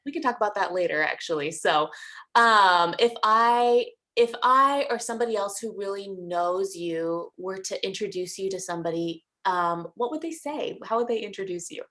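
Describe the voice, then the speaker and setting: A woman's voice: medium pitch, animated, normal speed, sharp tone. A woman interviewing a colleague, asking friendly, rambling questions with many fillers. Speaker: interviewer, fellow counselor.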